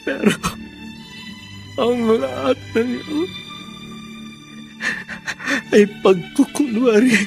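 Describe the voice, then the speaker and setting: A man playing a lonely, heartbroken older widower in a Filipino radio drama, speaking in a halting, sorrowful way. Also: very high-pitched, gravelly, exaggerated voice. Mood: tearful, mournful. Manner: slow, halting, sorrowful delivery with repeated phrases. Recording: music under the voice.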